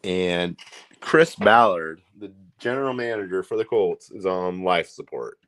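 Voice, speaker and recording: A man with an Indiana accent hosting a casual livestream, talking in a rambling, profane, excitable way. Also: low-pitched, unhurried, no room sound, rough recording. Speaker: livestream host.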